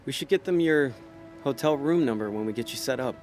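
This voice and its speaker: A man's voice: mid-range voice, animated, a little fast, darkish tone, soft background music, lightly acted. An actor playing a laid-back, streetwise male con man in a noir audio drama, talking in a dry, easygoing, wisecracking way. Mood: wry.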